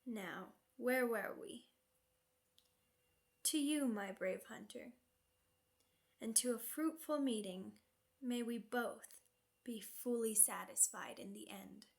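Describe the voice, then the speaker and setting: A woman's voice: fairly high pitch, takes it slowly, creaky, long pauses. A woman playing a seductive, sadistic vampire noblewoman in an ASMR roleplay, speaking formally and teasingly, savoring each phrase. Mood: menacing, amused.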